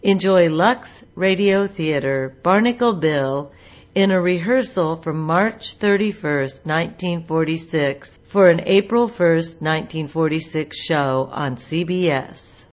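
A woman's voice: sits low, a little slow, lo-fi sound. A woman reading a scripted introduction as presenter of a radio show.

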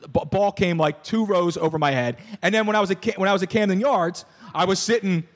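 A man hosting a casual sports podcast, animated, often stumbling and restarting his sentences. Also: high-pitched, quick pace. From Philadelphia area. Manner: animated, stammering restarts, mock play-by-play calls. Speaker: podcast host.

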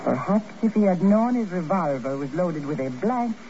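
A woman's voice: fairly low pitch, lively intonation, normal speed, dark tone, lightly acted. Woman playing a haughty, scheming radio villainess. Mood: cool, scornful. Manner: theatrical, haughty, formal. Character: cold, scheming foreign princess and murderess. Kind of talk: 1950s radio drama acting. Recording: noisy muffled old radio recording.

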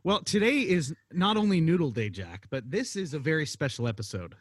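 A man's voice: a little high, brisk, husky, no echo, studio quality. A man co-hosting a casual podcast, joking and teasing his co-host, often repeating phrases. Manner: jokey, animated, repeats phrases.

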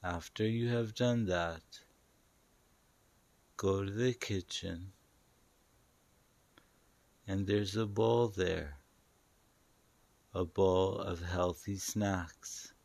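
Deep guy doing hypnotic guided meditation, super slow n soothing, dry close sound. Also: steady tone, creaky, darkish tone, dry recording. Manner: soothing, instructive, repeats phrases slowly.

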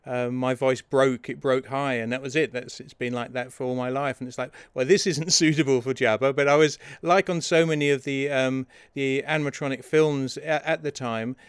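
A man's voice: medium pitch, steady tone, moderate pace, few pauses, clean tone, no room sound. A British man, a film puppeteer, telling anecdotes in a casual interview and laughing often. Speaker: puppeteer and guide-voice performer.

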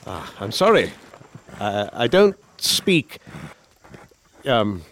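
A man's voice: fairly low pitch, sing-song, extremely slow, a bit bright, slight background noise, full character voice. An actor playing a polite young war veteran who narrates his journal and stammers hesitantly, in a radio drama. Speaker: narrator and lead character.

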